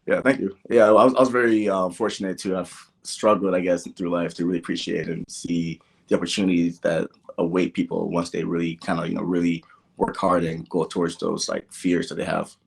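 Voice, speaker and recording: Very deep-voiced young man in conversation. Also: level tone, a little fast, vocal fry, breathy edge. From born in Nigeria, grew up in the American Midwest. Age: young adult. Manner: conversational, peppered with "like" and "you know". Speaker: podcast guest. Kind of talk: casual interview, personal storytelling.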